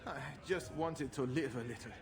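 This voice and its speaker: A man's voice: medium pitch, normal speed, lightly acted. An actor playing a naive, hapless runaway mage in his twenties in a video game, nervous and stammering, with boyish bluster. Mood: self-pitying. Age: 20s. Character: hapless, naive young runaway mage, would-be ladies' man.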